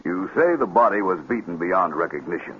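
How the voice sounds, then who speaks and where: A formal prosecutor in an old radio courtroom drama, questioning with precision. The voice is fairly low, on a dull recording with light hiss. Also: male voice, expressive, a little fast. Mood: formal probing. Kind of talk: 1950s radio drama acting. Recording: muffled, noisy vintage radio recording.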